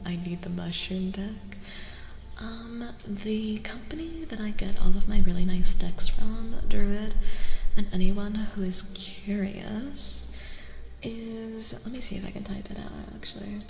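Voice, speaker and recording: A woman speaking in a soft, gentle ASMR style as she chats casually with livestream viewers, often dropping into whispers. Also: mid-range voice, creaky, reverberant, long reverb tail. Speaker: livestreamer giving tarot readings.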